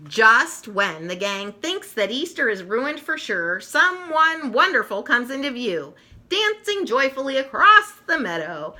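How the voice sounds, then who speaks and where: An expressive woman narrates a children's storybook. Her voice is very bright and forward, swinging widely in pitch. She takes her time, telling it at a slow, deliberate pace. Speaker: storybook reader.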